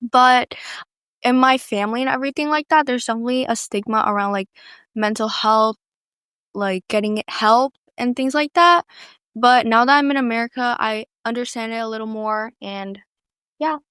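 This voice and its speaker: A woman from Vietnam, a guest in a casual chat, speaking briskly and thoughtfully. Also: on the high side, a bit bright, clear, resonant tone, dry recording, studio quality.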